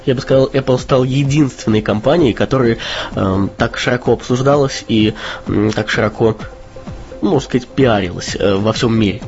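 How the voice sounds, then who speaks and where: Man, slight cold, podcast co-host, hesitant, many ums, medium pitch, dull lo-fi audio. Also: moderate pace, a little mellow, faint music behind. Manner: hesitant, with frequent uh and um. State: voice a bit off from a cold. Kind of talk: casual news podcast chat.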